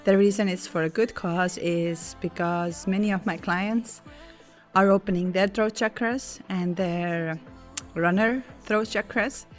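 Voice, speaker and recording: A woman with a hoarse, worn voice hosting a spiritual podcast in a casual, chatty way, apologizing now and then and slipping in small laughs. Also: medium pitch, measured pace, faint music behind. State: hoarse, lost voice. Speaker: podcast host.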